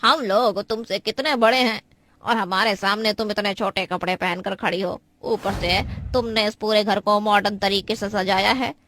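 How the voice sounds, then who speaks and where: A woman's voice: medium pitch, steady tone, normal speed, fairly bright tone, lightly acted. A woman voicing a preachy older female guest in a cartoon family drama, admonishing and moralising.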